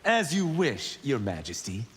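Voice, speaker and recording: A man's voice: mid-range voice, very animated, unhurried, slightly rough, heavily acted. A man playing a brash, self-assured young monk fighter in dramatic video game dialogue. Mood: cocky, challenging. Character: cocky, quick-witted young male monk fighter. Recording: long reverberant hall echo.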